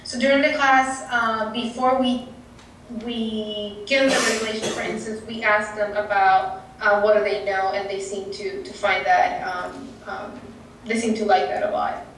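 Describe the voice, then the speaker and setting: A woman's voice: medium pitch, little pitch movement, noisy background. Puerto Rican woman presenting in an echoey hall. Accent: Puerto Rican Spanish. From Puerto Rico. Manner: earnest, explanatory, halting with many fillers. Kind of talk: conference presentation. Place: conference room. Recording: echoey room, distant mic.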